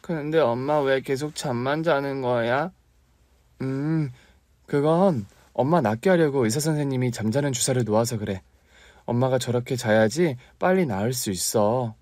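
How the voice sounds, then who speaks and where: A man voicing a warm, scatterbrained young aunt in a spoken story, his pitch raised high. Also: normal speed, no room sound, close to an everyday voice. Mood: soothing.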